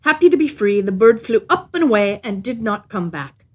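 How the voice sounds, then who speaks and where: A woman reading a fairy tale aloud as an expressive, scripted narration. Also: on the high side, normal speed. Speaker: storyteller.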